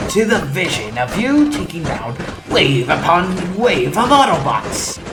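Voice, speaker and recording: Female actor as theatrical loyal robot officer, low voice, very animated delivery, eloquent, roomy echo, comic dub. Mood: boastful, rousing. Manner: eloquent, dramatic, needling. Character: proud, theatrical, fiercely loyal robot second-in-command. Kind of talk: dramatic comic dub acting.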